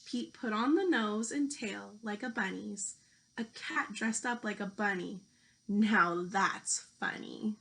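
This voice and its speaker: A woman teacher reading a children's picture book aloud, speaking deliberately to young listeners. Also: medium pitch, creaky, fairly bright tone. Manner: slow, deliberate delivery addressed to young children. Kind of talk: children's storybook read-aloud.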